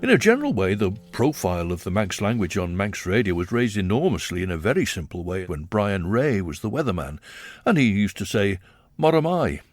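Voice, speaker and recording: Lively low-voiced male narrator. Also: a little fast. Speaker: documentary narrator. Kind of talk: scripted radio narration.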